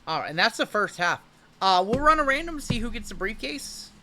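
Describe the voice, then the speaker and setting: Hyped live-stream card break host, a man with a high, bright, strained voice calling out cards. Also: big pitch swings, fairly quick, slight background noise. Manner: hyped, joking, calls out cards as they are pulled. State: voice going hoarse from shouting. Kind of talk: livestream card break commentary.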